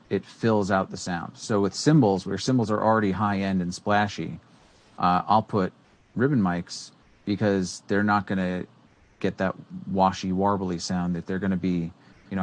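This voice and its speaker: Deep-voiced man explaining microphones casually. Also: level tone, normal speed. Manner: rambling, many fillers and restarts. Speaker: co-host. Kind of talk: casual educational tech talk. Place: small studio room with green screen. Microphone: studio microphone on boom arm.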